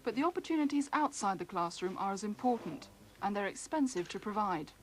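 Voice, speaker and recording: A British woman narrates a news documentary from a script. Her voice sits at an ordinary female pitch, and the archive recording carries faint noise. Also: animated, fairly quick. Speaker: news reporter. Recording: faintly noisy archive newsreel.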